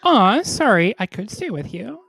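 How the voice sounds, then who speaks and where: A woman's voice: mid-range voice, sing-song, moderate pace, gravelly, a bit bright, slightly rough, no echo, faint music behind. A woman hosting an online comedy show while congested from a cold and a little tipsy, bubbly and giggly with her guests, over a video call. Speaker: host.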